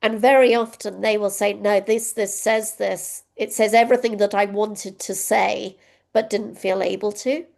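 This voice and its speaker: A woman's voice: medium pitch, steady tone, moderate pace, creaky, darkish tone. A woman giving a keynote, answering a question over a video call in a reflective, thinking-aloud way. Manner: reflective, self-critical, thinks aloud with ums. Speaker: keynote speaker.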